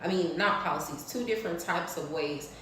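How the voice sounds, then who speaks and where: A woman in her thirties talks in a blunt, preachy way about spiritual laws, swearing freely as she goes. Her voice sits a little low. She is recorded from a distance in a very echoey room, with faint background noise. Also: a little fast, a bit bright. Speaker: spiritual vlogger. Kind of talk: casual spiritual talk to camera.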